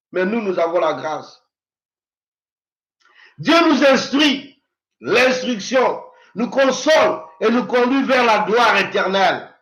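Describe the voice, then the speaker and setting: High bright-voiced preacher man in his fifties. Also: roomy sound, poor audio. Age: 50s. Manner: preaching with swings from loud exhortation to quiet prayer. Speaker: pastor. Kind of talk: sermon.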